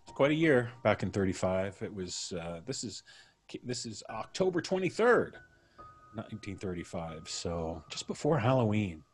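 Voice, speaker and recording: A man's voice: on the low side, big pitch swings, moderate pace, breathy edge, soft background music. A man hosting a live show, chatting casually and introducing a story with a theatrical storyteller's delivery. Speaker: podcast host.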